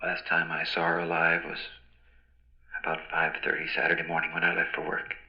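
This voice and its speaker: Grieving widower witness, halting, monotone, gravelly, old-time radio drama, dull recording. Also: male voice, low voice. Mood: subdued grief. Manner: halting, somber. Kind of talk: 1940s radio acting.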